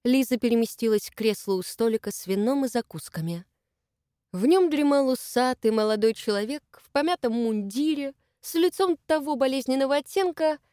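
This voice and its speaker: A woman with a fairly high voice reads a novel aloud in an even, measured way. The recording is close and dry, with no room sound at all. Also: no echo. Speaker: audiobook narrator. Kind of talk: scripted narration.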